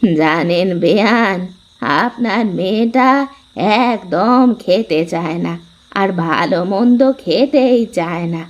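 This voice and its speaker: A woman plays a matronly older mother-in-law in a village cartoon. She speaks slowly, and the sound is slightly dull. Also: medium pitch, lightly acted. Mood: complaining. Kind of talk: Bengali cartoon dialogue.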